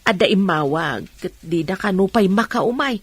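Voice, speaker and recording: Husky, patient village mother. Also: mid-range voice, lively intonation, moderate pace. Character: patient, forgiving village mother and younger sister. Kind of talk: Ilocano radio drama acting.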